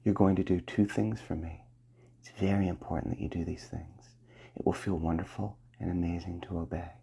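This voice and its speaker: A man giving a slow, soft and commanding hypnosis roleplay in the ASMR style, often dropping to a half-whisper. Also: low-pitched, steady tone, vocal fry, breathy edge.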